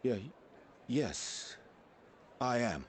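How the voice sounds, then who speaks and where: An actor playing a solemn, gentle scholar in a fantasy video game, formal and halting. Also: male voice, mid-range voice, expressive, a little hiss or hum. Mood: awkward. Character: solemn, archaic-speaking mystic scholar, gentle and remorseful. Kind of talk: video game cutscene acting. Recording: faint music behind the voice.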